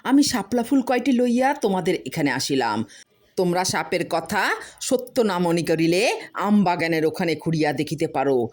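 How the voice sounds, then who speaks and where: Lady doing a boastful village husband telling wild tales, super swoopy and excited, repeats stuff for drama. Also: lowish voice, normal speed. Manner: dramatic, repeats words for suspense. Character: boastful tall-tale-spinning village husband, breathless with excitement.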